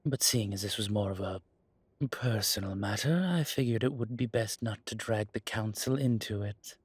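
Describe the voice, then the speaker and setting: A man playing an ancient, proud vampire elder in an audio drama, deliberate and quietly menacing, with a husky voice. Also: lowish voice, dry recording. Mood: measured. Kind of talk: audio roleplay drama acting.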